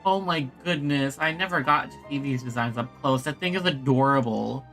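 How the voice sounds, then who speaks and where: A woman streaming a video game, giving casual, expressive, reactive commentary. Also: rumbling low, moderate pace, over music.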